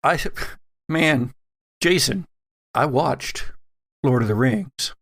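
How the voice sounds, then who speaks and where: A man playing a weary, injured, reluctant survivor in a tabletop horror game, halting and stammering. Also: mid-range voice, lively intonation, gravelly, a bit bright, full character voice.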